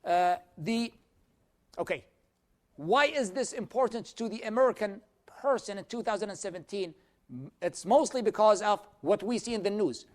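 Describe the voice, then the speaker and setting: A man from Iraq, lecturing a church class in a measured, explanatory way and answering audience questions. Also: high-pitched. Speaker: teacher of a church class series.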